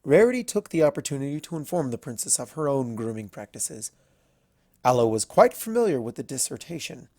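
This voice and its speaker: A male narrator reads a piece of fiction from a script. His voice sits at a medium pitch, and the recording is dry with very little room sound. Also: very animated, a little fast, gravelly, warm tone, no room sound.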